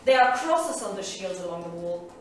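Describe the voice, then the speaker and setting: An actress in a staged reading, playing a proud, earnest heroine in a solemn, dramatic manner. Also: female voice, medium pitch, sing-song, moderate pace, lightly acted. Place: theatre studio stage, far microphone. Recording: distant echoey theatre studio, faint noise.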